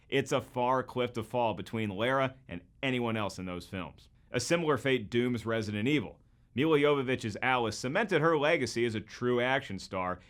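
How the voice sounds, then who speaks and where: A man's voice: mid-range voice, fairly bright tone. Man presenting punchy opinionated commentary. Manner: opinionated, playfully irreverent. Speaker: video presenter. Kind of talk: scripted comparison commentary on films.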